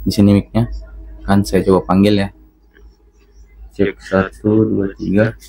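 A man's voice: lowish voice, flat delivery, measured pace, creaky, warm tone, husky, rough recording. A man narrating a casual hands-on product tutorial, talking instructively to his viewers. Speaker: product reviewer.

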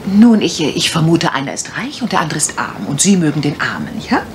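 A woman's voice: deep voice, sing-song, brisk. An actress in a mystery radio play as a shrewd, nosy, worldly lady assistant, direct and knowing, with dry humour. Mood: wry, curious. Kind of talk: 1980s German mystery radio play acting. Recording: faintly noisy old radio recording.

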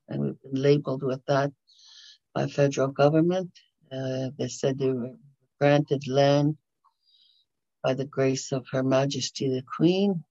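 An older woman whose first language is Dakota, speaking in a measured, halting way over a video call from a small bedroom. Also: very deep voice, creaky, dark tone, no room sound. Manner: measured, halting, with frequent pauses. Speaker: community presenter. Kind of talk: prayer and talk. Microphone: video call webcam. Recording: slightly dull lo-fi video call audio.

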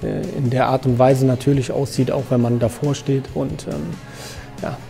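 A man in his thirties from Germany, a guest in an interview, talking casually with many fillers and pauses. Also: medium pitch, level tone, vocal fry, warm tone, long reverb tail, soft background music. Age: 30s. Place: photo studio with grey backdrop.

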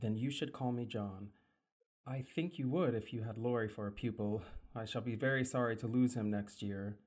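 An actor playing an earnest, soft-spoken young male tutor in an audio drama, formal and polite. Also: medium pitch, normal speed, close to an everyday voice. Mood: gentle. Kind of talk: radio play acting.

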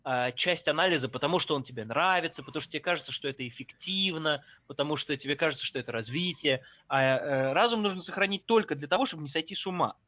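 A man's voice: fairly high pitch, fast, few pauses, bright, forceful tone. A young man, a poet, chatting on a video call with a headset mic; he says his voice is sick and mocks himself for loving to talk. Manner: self-mocking, talkative. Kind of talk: casual video call chat. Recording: dull lo-fi video call audio.

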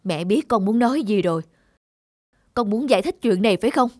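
A woman voicing a worried, hard-working rural mother in a cartoon, gently chiding and plain-spoken. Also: mid-range voice, expressive, fairly quick, no echo, clean studio sound, natural voice. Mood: tender, sad. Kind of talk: animated drama dubbing. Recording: music under the voice.

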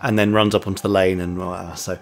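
A man's voice: deep voice, level tone, a little fast, soft background music. A man co-hosting a podcast in casual banter, teasing and playfully mock-formal. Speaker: podcast co-host. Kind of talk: casual scripted-loose banter.

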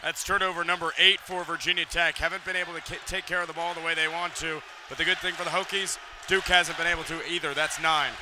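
A man's voice: a little high, level tone. Male color commentator over arena crowd. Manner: quick analytical remarks between plays. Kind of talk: radio basketball color commentary. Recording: loud arena crowd and noise.

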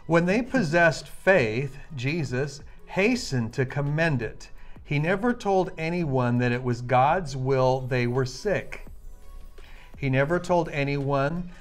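A man preaching with enthusiasm, reading a Bible passage slowly and emphatically. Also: on the high side, animated, soft background music. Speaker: minister.

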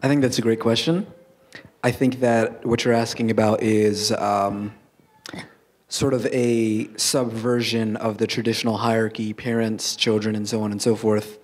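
A young man on a panel answering a question frankly and in measured steps, speaking into a handheld mic on an auditorium stage. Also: on the low side, level tone. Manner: frank, measured, reasoning aloud. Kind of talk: panel discussion.

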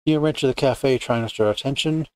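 Guy narrating a visual novel, quick pace, dry close sound. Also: medium pitch, no echo. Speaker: narrator of a game playthrough. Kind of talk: second-person story narration read aloud.

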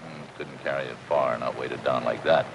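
An actor playing a cynical, world-weary, money-minded middle-aged deep-sea diver, wisecracking in a 1950s television crime drama. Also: male voice, steady tone, normal speed, noisy background. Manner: sardonic, wisecracking.

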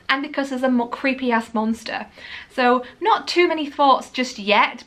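A young woman from Yorkshire with a Lincolnshire English accent, chatting casually about books in her living room, animated and laughing often. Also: a little high. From Yorkshire, England. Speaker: book vlogger.

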